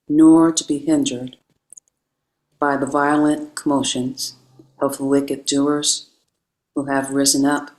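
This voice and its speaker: A speaker recites a long devotional prayer with reverence. The delivery is very slow and unhurried, and the pitch stays flat and even throughout, with little rise or fall. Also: rough recording.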